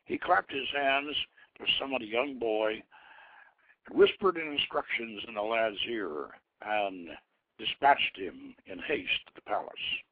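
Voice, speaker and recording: Male storyteller, mid-pitched, measured and stately scripted narration, phone-quality sound. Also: animated, no room sound. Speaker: host reading his own novelette. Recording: thin phone-like internet radio line.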